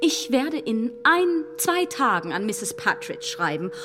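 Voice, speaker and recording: Gushing, overbearing society lady, lively pitch. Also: unhurried, long reverb tail, noisy background. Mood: insistent. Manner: effusive, condescending, insistent. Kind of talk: German radio play acting.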